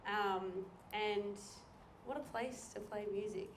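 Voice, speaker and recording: A woman's voice: mid-range voice, slow. A woman in her thirties from Tasmania, hosting a live interview in a bubbly, teasing way and laughing often. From Tasmania, Australia. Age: 30s. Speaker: host, songwriter. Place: community venue before an audience. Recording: roomy, distant live hall mic with faint noise.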